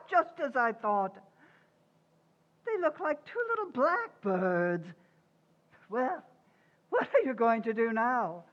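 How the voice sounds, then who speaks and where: Woman playing a fussy, high-pitched, prying mother-in-law. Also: sing-song, slow pace, long pauses. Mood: smug, huffy. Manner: sing-song, falsely sweet needling. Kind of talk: old-time radio sitcom acting. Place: community theatre stage radio recreation. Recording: dull, lo-fi stage recording.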